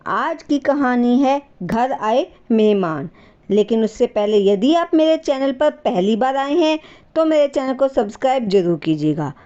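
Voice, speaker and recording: A woman narrating a scripted story as a host, expressive and speaking directly to her listeners. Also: on the high side, a little slow, dull audio.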